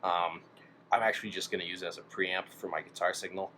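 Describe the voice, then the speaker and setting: Male DIY hobbyist, low-pitched, casual and musing, talking half to himself; clearly noisy recording. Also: steady tone, fast, pushed and bright. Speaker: DIY electronics hobbyist narrating his work. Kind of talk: casual thinking-aloud workshop chat.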